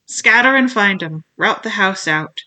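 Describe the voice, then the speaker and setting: A sharp, forceful voice for a cruel blind pirate beggar in an adventure storybook, performed by a woman. He barks commands and curses his men with impatient rage. Every phrase is pushed hard and loud. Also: on the low side, normal speed. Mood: commanding, impatient. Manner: shouting, cursing, commanding.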